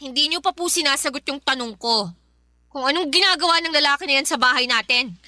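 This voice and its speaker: A woman's voice: high-pitched, fast talker, sharp tone, dry recording, studio quality. A woman acting a stern, outspoken young breadwinner daughter, indignant and confrontational, in a Filipino radio drama. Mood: disbelieving.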